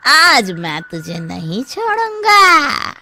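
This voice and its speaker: A vengeful village rascal from a cartoon, put on by a voice actor, high and wildly swooping. He whines, curses and threatens in an exaggerated, theatrical way. Faint noise sits behind the voice. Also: wildly swooping pitch, takes it slowly, bright, forceful tone, heavily acted. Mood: menacing, vengeful. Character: Kalia, vengeful village rascal man, whining then gloating. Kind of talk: Hindi cartoon story acting.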